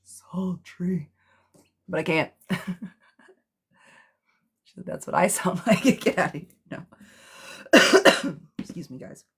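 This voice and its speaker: A woman with a hoarse, smoky voice, doing a chatty live tarot reading while sick with a cough. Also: fairly low pitch, lively intonation, takes it slowly, pauses often, a little hiss or hum. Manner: chatty, reassuring, calls listeners girlfriend. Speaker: tarot reader.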